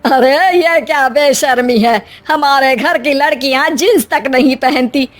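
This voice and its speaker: A woman's voice: high voice, unhurried, faint music behind. A woman voicing a scandalised, traditional middle-aged mother-in-law in a cartoon story, scolding and exclaiming.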